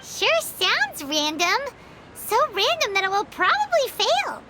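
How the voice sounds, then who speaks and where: A woman voicing a tiny, cheerful, childlike fairy companion with a squeaky voice in a video game. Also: wildly swooping pitch, slow pace, noisy background, exaggerated voice. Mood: curious, skeptical. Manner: refers to self in third person, exclaiming. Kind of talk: English video game voice acting. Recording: loud music behind the voice. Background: game music.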